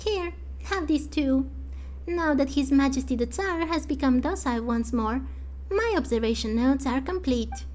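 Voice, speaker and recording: A woman's voice: sits high, moderate pace, a little mellow, natural voice, rough recording. A woman voicing a proud, loyal young girl from a street gang in a video game, speaking earnestly. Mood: proud, friendly. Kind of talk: fan voice-over of video game dialogue.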